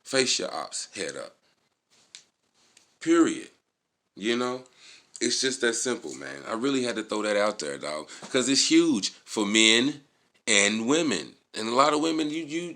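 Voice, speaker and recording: A man's voice: mid-range voice, very animated, moderate pace, sharp tone. An American man giving a casual motivational vlog talk, emphatic and slangy, swearing freely and repeating key words. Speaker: vlogger.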